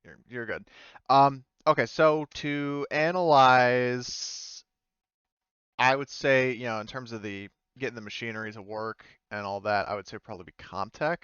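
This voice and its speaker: A man's voice: mid-range voice, fairly even intonation, moderate pace, a bit bright, clean tone, no echo, lo-fi sound. A man speaking as game master, narrating off the cuff in a casual, accommodating and hesitant way. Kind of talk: improvised game narration and casual table talk.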